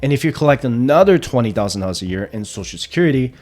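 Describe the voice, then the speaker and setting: A man in his thirties talks through the figures in a steady explainer tone. His pitch sits right in the ordinary middle range for a man. Soft music plays faintly underneath. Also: rapid-fire. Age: 30s. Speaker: personal finance channel host. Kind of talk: explainer walking through retirement numbers.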